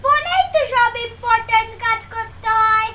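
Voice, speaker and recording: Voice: very high voice, normal speed, bright, forceful tone. A performer voicing a cheeky, lazy, whiny little schoolgirl in a Bangladeshi accent for a children's comedy cartoon. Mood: defiant. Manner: cheeky, wheedling, argumentative. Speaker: cartoon character voice.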